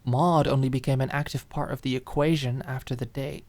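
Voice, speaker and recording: Female narrator for ASMR drama audiobook: scripted fiction, expressive and deliberate delivery. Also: very deep voice.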